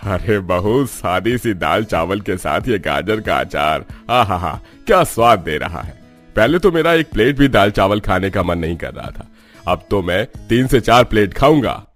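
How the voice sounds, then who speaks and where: Low-voiced elder father-in-law, played by a man. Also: lively intonation, unhurried, a bit bright, faint music behind. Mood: delighted. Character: grumbling elder father-in-law of a village household. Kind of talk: cartoon story dialogue acting.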